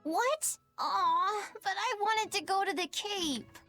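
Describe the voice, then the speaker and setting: A woman's voice: very high-pitched, takes it slowly, bright, forceful tone, soft background music, exaggerated voice. A woman playing an earnest, sickly young boy, a polite little brother in an anime-style fantasy game. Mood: disappointed, pleading. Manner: plaintive, youthful, polite.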